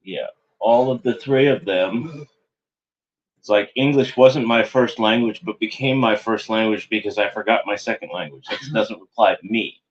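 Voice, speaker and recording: Low-voiced man rambling sardonically, lo-fi. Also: moderate pace. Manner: meandering, sardonic, self-correcting. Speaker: internet show host. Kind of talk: rambling monologue commentary.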